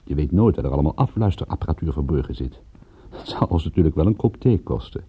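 An actor playing a wry, weary private detective in a radio drama, speaking in a dry, sardonic and understated way. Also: male voice, very deep voice, expressive, quick pace, slightly rough, full character voice. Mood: sardonic narration. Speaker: actor playing narrator and lead. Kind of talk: radio drama acting and first-person narration. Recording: muffled, thin phone-like radio recording.

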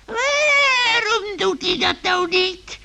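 A man voicing a grumpy, whining old female witch with a shrill, creaky voice in a children's radio story. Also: animated, very slow, heavy background noise, exaggerated voice. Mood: grumbling, fed up. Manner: whining, grumbling.

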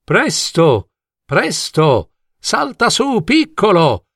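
A man performs an eccentric old vagabond from a storybook, kindly and talkative. His voice leaps around in big swoops and often climbs high. He rambles theatrically, full of exclamations and rhetorical questions. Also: wildly swooping pitch, measured pace, no echo, studio quality, heavily acted. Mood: urgent, calling. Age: older. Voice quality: warm voice. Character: old vagabond dream-carriage driver, kindly, chatty, eccentric.

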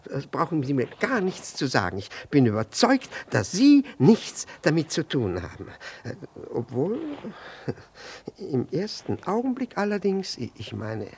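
An actor playing a flustered, over-polite jealous husband in a 1960s German radio play, stammering, repeating words and breaking off mid-sentence. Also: male voice, fairly high pitch, wildly swooping pitch, measured pace, a little mellow, a little hiss or hum, full character voice. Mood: flustered, placating.

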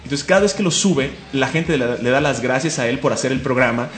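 A man's voice: mid-range voice, fairly bright tone, reverberant. A man with a Mexican accent hosting a comic radio show, joking and enthusiastic. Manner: fast, joking, enthusiastic, laughs often. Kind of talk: casual radio chat.

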